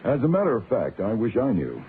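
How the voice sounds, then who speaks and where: An actor playing a breezy, self-assured private detective with a flippant, colloquial delivery in a radio drama. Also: male voice, lowish voice, wildly swooping pitch, brisk, a little hiss or hum. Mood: brisk, persuasive. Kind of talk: hardboiled detective radio drama, narration and dialogue. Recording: tinny band-limited old radio, music behind.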